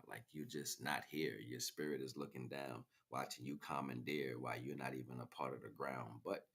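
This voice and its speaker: A man's voice: a bass voice, fairly bright tone, husky. An American man livestreaming a freestyle spiritual monologue in a rhythmic, rhyming, slangy way. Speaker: livestream host.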